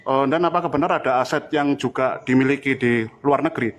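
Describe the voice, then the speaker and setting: Mid-pitched male reporter, slight noise. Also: brisk. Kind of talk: press conference questions.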